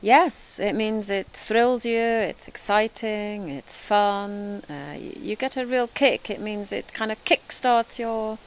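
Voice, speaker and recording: A woman's voice: medium pitch, slow, hardly any pauses, poor audio. A woman who is not a native English speaker, explaining things in a casual teaching chat with emphatic repetition. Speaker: guest explaining idioms.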